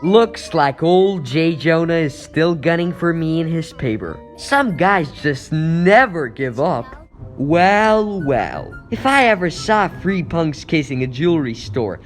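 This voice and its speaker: A man's voice: a little high, big pitch swings, takes it slowly, a bit bright, faint music behind, exaggerated voice. A man playing a wisecracking young superhero in a comic book dub, wry and self-assured. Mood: wry, watchful. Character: wisecracking young male superhero, cocky and watchful.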